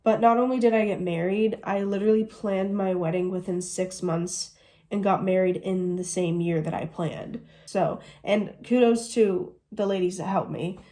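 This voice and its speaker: A woman's voice: mid-range voice, steady tone, moderate pace, dark tone. A woman in her twenties from the United States, chatting casually through a Q&A vlog and gushing as she goes. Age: 20s. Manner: chatty, gushing, says "literally" often. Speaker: vlogger.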